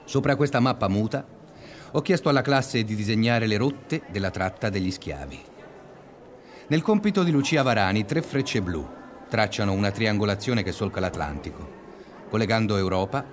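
An actor playing a reflective, pedantic schoolteacher who narrates a confessional radio-drama monologue in measured, deliberate phrases. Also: male voice, fairly low pitch, expressive, slight background noise, natural voice. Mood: measured, intent.

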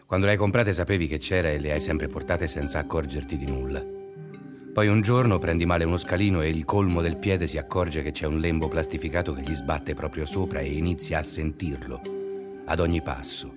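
An actor playing a brooding schoolteacher who narrates his small obsessions in a deliberate, introspective way for a dreamlike radio drama. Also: low voice, natural voice. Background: music.

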